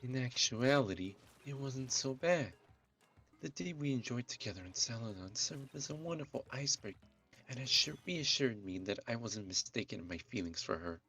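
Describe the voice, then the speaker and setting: A man's voice: mid-range voice, lively intonation, normal speed, sharp tone, poor audio. A man reading a first-person fan fiction story aloud.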